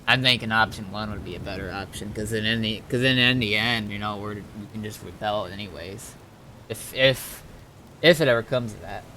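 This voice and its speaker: A man's voice: on the low side, moderate pace, bright, forceful tone. A man who streams games, talking casually through roleplay in a hesitant, rambling way and repeating himself. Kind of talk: casual gameplay talk and improvised roleplay. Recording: slightly noisy home gaming recording.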